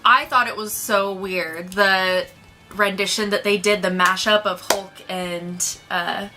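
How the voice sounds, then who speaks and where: A woman co-hosts a casual review, chatting informally as she goes. Her voice is bright and forward, with an average pitch for a woman. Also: fairly even intonation, slow pace, faint music behind. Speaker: co-host of a movie review.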